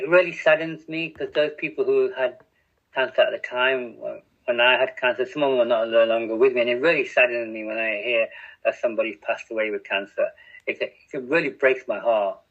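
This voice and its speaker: A middle-aged man from England, speaking openly and reflectively as a podcast guest, often restarting his sentences and laughing at himself. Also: mid-range voice. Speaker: podcast guest, radio community editor. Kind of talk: candid conversational interview. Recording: tinny phone-like line.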